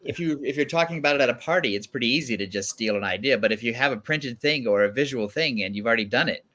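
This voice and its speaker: A man's voice: medium pitch, quick pace, bright, forceful tone. A man hosting a casual livestream panel conversation among several guests. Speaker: guest host of a livestream show.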